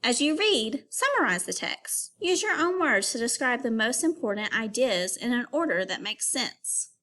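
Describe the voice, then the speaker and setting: A woman teacher reading an informational text aloud to young children, pausing to give instructions and put questions to them. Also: fairly high pitch, very animated, a bit bright.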